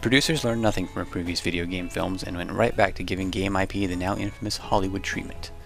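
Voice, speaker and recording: A man's voice: low voice, steady tone, fast, gravelly, a bit bright. A man reading scripted documentary narration over background music. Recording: music under the voice.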